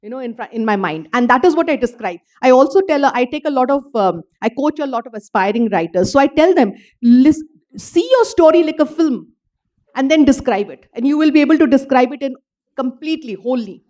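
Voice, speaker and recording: High-voiced woman author. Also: lively intonation, brisk, darkish tone. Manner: explanatory, earnest, uses "you know" fillers. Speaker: author answering audience questions. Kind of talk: panel talk at a literature festival. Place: event hall with audience.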